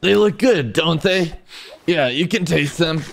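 A man's voice: a little high, a little slow, creaky, a crowd in the background. A man voicing an earnest young stick-figure cook who leads his friends in an amateur cartoon dub, giving instructions. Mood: eager, instructing.

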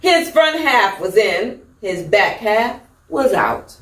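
A middle-aged woman hosting storytime, reading a children's picture book with deliberate, theatrical emphasis. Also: mid-range voice, big pitch swings, fairly bright tone. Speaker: storytime host. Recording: echoey room, distant microphone.

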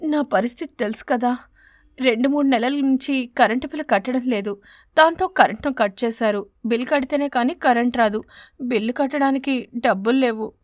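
A woman voicing a poor, patient mother sparrow in an animated story, soothing and plaintive. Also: fairly high pitch, normal speed, no room sound, studio quality, close to an everyday voice. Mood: resigned. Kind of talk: animated bedtime story character.

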